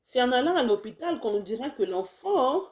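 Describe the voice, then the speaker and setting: A woman's voice: fairly high pitch, very animated, moderate pace. A Congolese woman who has a cold, talking casually to her viewers with many restarts and urging them to share her video. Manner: warm exhortations, frequent restarts, mixes in Lingala phrases. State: slightly hoarse with a cold. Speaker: health video host. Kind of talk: casual talk to camera. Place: lives in the United States.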